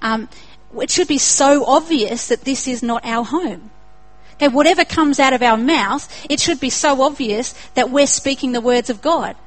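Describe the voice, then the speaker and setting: A woman's voice: high-pitched, moderate pace, poor audio. A young woman with an Australian accent preaching a sermon in an earnest way, building to emphatic repetition.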